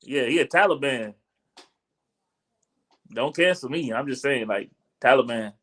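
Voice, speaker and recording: A man's voice: medium pitch, animated, a little slow, fairly bright tone. A man hosting a gaming podcast, teasing his co-host and joking around in casual chat. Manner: joking, teasing, laughs a lot.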